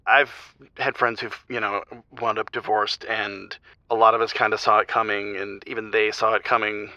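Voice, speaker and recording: A man playing a grieving married father calling an advice show, speaking haltingly and confessionally, on slightly muffled audio. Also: on the low side, little pitch movement, bright, forceful tone, no echo. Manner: halting, subdued, trailing off. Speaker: caller.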